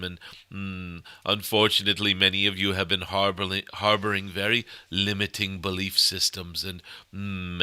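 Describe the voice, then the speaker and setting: A man voicing a benevolent cosmic golden dragon spirit, delivering a spiritual message in formal, halting phrases punctuated by murmured hums. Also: low voice, sharp tone, clear, resonant tone, dry recording, exaggerated voice. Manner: formal, otherworldly phrasing, tenderly addressing listeners. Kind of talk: channeled spiritual message, sermon-like.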